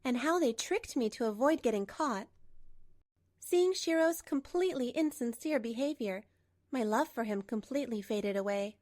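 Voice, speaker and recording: High-pitched woman narrating a dramatic story. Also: animated, normal speed, a little mellow. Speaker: narrator and lead voice actor. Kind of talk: scripted first-person drama narration for a manga dub.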